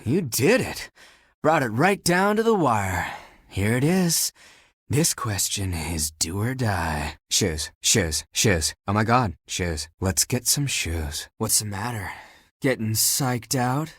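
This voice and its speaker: A haughty, theatrical would-be fashion designer from a video game, a living pile of laundry with a great sense of drama. The voice is husky and close and dry, swooping freely up and down as it teases and looks down its nose. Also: male voice, on the low side, sing-song, slow, fairly bright tone, no room sound, exaggerated voice. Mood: teasing, suspenseful. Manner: haughty, flamboyant, dramatic, teasing. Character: sassy, theatrical talking dirty laundry, aspiring fashion designer. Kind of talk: animated video game character acting.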